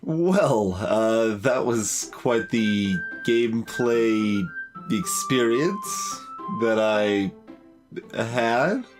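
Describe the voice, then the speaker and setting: A man hosting a game playthrough, talking casually and off the cuff in a halting, hesitant way. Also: mid-range voice, expressive. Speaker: host of a game playthrough, streamer. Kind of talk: casual unscripted gameplay commentary. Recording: music behind the voice. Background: guitar music.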